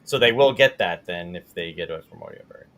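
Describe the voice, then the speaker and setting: A man's voice: sits low, lively intonation, fast talker, fairly bright tone. A man hosting a casual online talk show, chatting informally with guests and reading items out to them. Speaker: show host.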